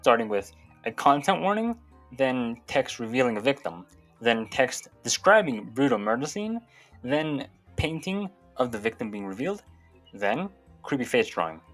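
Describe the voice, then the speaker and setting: A man's voice: medium pitch, sing-song, normal speed. A man giving casual, opinionated video commentary in an informal, sweary way. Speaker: YouTube commentator. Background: background music.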